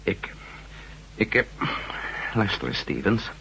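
An actor playing a shrewd former astronaut turned reporter, questioning officials in an insistent way in a radio drama. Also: male voice, low voice, big pitch swings, takes it slowly, vocal fry, pushed and bright, a little hiss or hum, exaggerated voice. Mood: urgent. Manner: probing, insistent. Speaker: lead character. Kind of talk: 1970s radio drama acting. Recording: dull lo-fi radio recording.

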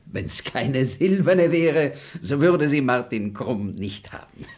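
A man's voice: mid-range voice, lively intonation, slow pace, darkish tone. An actor playing a boastful, stingy male bailiff who mocks a maid and mutters asides in a radio play. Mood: smug. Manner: smug, mocking, muttering asides.

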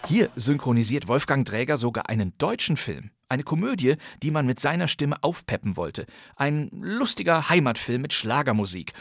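A man's voice: medium pitch, very animated, moderate pace, creaky, dry recording, soft background music. Man presenting, dry close studio sound. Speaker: podcast host. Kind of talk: scripted radio podcast presenting.

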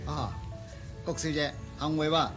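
An actor playing a breezy rich bachelor with a knowing, insistent manner in a Hong Kong Cantonese radio drama. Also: male voice, a little high, a little slow, over music, lightly acted. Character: breezy, pushy rich bachelor and old friend.

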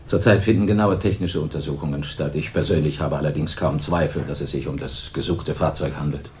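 An actor playing a calm, formal police investigator in a radio crime drama, measured and official. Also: male voice, extremely low pitch, a little hiss or hum. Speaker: police detective.

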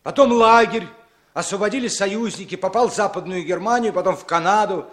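A man's voice: high-pitched, fairly quick, a bit bright. An actor playing an evasive, bitter long-lost older brother in a 1960s Soviet radio drama, emotional, repeating his words. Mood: defensive, pleading.

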